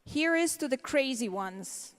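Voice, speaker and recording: Female conference speaker, slightly high pitch, earnest motivational delivery, reverberant hall. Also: lively intonation, measured pace, clear, resonant tone. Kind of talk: motivational speech. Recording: echoey large hall sound.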